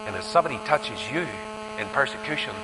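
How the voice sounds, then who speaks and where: Northern Irish preacher, swooping and emphatic. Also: male voice, medium pitch, animated, fairly quick, noisy background. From Northern Ireland. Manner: rhetorical questions, emphatic rises falling to hushed asides. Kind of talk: sermon. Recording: echoey hall with long reverb.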